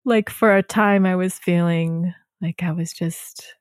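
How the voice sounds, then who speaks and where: A woman's voice: fairly low pitch, fairly even intonation, a little slow, smooth, dry recording, studio quality. A woman hosting a podcast interview who talks in a chatty, relatable way and laughs often. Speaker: podcast host. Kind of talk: casual interview conversation.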